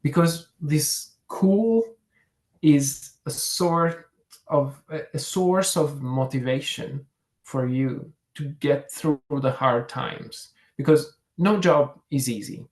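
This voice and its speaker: A man from Italy with an Italian accent, giving conversational interview answers in a reflective, hesitant way with frequent pauses mid-sentence. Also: a little high. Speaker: career fair interviewee, program manager.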